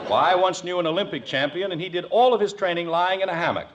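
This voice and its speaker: A man's voice: high voice, fast talker, dull audio, lightly acted. An actor playing a genial, boastful middle-aged suburban father in a 1950s radio sitcom, with hemming, good-humored bluster. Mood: jovial, boastful. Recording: noisy vintage radio recording.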